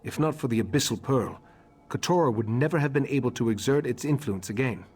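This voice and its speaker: An actor playing a grave, remorseful elven mage leader in a fantasy game, speaking formally with measured, slightly husky delivery. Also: male voice, mid-range voice, a little mellow, close to an everyday voice. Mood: pensive, wary. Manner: measured, formal, solemn exposition. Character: grave elderly male high elf mage leader, remorseful and scholarly.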